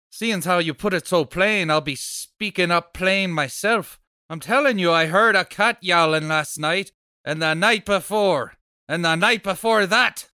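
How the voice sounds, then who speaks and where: A man's voice: high voice, bright, forceful tone, clear, resonant tone, no echo, clean studio sound, heavily acted. A man playing a nosy, chatty, stubborn off-duty policeman neighbor in a table read of an old radio horror play, with folksy, blustery speech. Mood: indignant, insistent.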